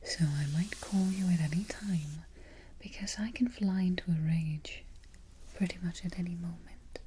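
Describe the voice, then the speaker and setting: A woman playing a haughty evil queen in a soft-spoken ASMR roleplay, breathy and whispery, giving petty orders with imperious, mock-sweet deliberation. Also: lowish voice, fairly even intonation, normal speed, a little hiss or hum, lightly acted. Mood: coolly menacing. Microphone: close ASMR microphone.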